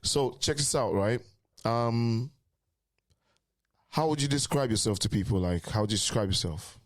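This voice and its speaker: A man's voice: mid-range voice, normal speed. A man born in the UK hosting a casual interview, asking loose questions with repeated fillers. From United Kingdom. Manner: relaxed, filler-heavy questions. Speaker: interviewer host.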